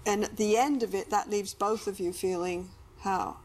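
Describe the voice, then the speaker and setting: A woman's voice: mid-range voice, fairly even intonation, moderate pace, a little mellow. A middle-aged woman interviewing a couple as a therapist, probing and restating what she hears in simple phrases. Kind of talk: guided therapy conversation. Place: small TV-style studio set, indoors.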